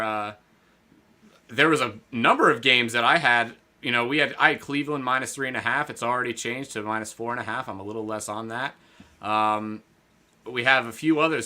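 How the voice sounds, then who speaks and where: This is a man with a very bright, forward tone, co-hosting a sports betting chat. His talk is loose and rambling, full of fillers and restarts. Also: medium pitch. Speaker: podcast co-host.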